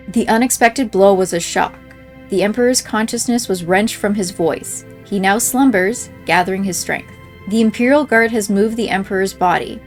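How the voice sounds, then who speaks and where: Woman, medium pitch, scripted read-aloud of video game mail, game music in the background. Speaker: game playthrough creator reading in-game mail aloud. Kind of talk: scripted reading of game messages.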